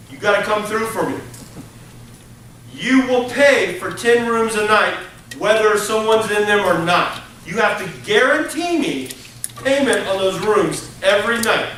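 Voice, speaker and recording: A man's voice: high voice, expressive, measured pace, bright, forceful tone, a little hiss or hum. A man teaching a college hospitality class, animatedly acting out business deals with his students. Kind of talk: interactive classroom lecture. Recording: echoey classroom from far off.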